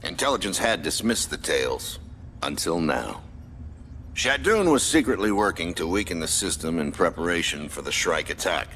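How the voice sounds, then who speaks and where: An actor playing a stern military commander in a video game, briefing troops in a clipped, formal, authoritative manner. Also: male voice, low voice, big pitch swings, normal speed, a bit bright, a little hiss or hum, heavily acted. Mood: stern, grave.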